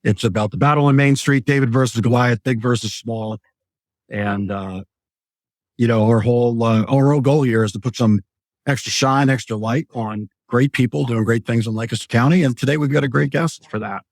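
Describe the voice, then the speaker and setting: A man hosting a local business talk show, relaxed and wry. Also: medium pitch, a little fast, no room sound. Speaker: host.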